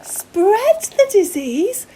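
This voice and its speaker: A woman's voice: very high-pitched, very animated, very slow, warm tone, slight background noise, full character voice. A woman voicing a curious, puzzled young schoolgirl in a children's storybook. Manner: questioning, puzzled. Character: curious young schoolgirl questioning her father. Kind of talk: read-aloud children's storybook dialogue.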